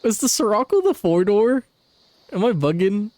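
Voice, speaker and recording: A man's voice: high-pitched, big pitch swings, normal speed, darkish tone, dry recording. A young man giving casual gaming commentary, slangy and profane, with muttered asides. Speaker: game streamer.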